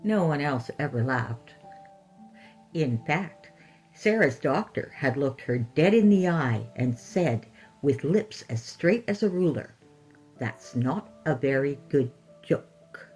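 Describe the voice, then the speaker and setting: A woman reads as a podcast host and storyteller. Her voice is low for a woman and moves through a wide, animated range. Faint music plays behind her scripted narration. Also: slow, husky, low-quality recording.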